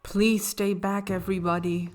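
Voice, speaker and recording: A woman playing a formal, measured staff member controlling a crowd in a visual novel fan dub. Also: mid-range voice, little pitch movement, soft background music, close to an everyday voice. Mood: composed, firm.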